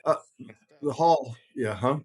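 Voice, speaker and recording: A man co-hosting a podcast, chatting casually in a rambling, halting way, often circling back on his words. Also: mid-range voice, a little mellow. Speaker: podcast co-host, heathen group leader.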